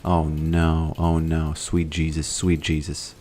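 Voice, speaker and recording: A man's voice: rumbling low, monotone, slow, warm tone. A man from Latvia chatting casually with his viewers while streaming a game, rambling and musing as he plays. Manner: rambling, musing, greets chat. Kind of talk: casual gaming livestream chat.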